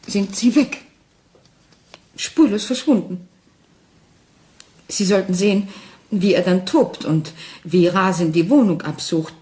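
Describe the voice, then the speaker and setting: An actress playing a frightened wife who doubts her sanity, speaking anxiously and haltingly in a German crime radio play. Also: on the low side, warm tone, lightly acted. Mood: troubled. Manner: anxious, halting, intense, dropping into whispers.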